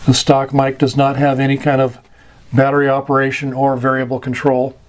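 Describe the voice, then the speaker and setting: A man giving an informal explanatory lecture as a radio instructor, speaking deliberately in short, halting phrases and repeating words for emphasis. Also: mid-range voice, monotone. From United States.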